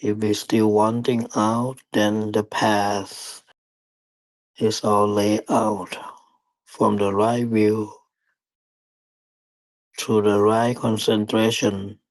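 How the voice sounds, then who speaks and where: Slow, low-voiced man guiding meditation. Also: level tone, dry recording, low-quality recording. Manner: deliberate, halting phrasing with long pauses. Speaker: meditation teacher. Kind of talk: guided meditation dharma talk.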